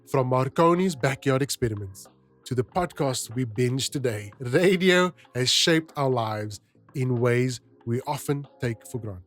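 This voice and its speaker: A man's voice: mid-range voice, animated, a little slow. A man hosting a podcast, speaking directly to his listeners. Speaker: podcast host. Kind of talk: podcast narration and informal address to listeners. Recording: music bed behind the voice. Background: music.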